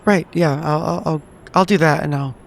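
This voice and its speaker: A man's voice: a little high, fairly even intonation, normal speed, gravelly, soft background music. A man playing a tabletop game, casually improvising and describing his character's actions, somewhat hesitant and repeating words.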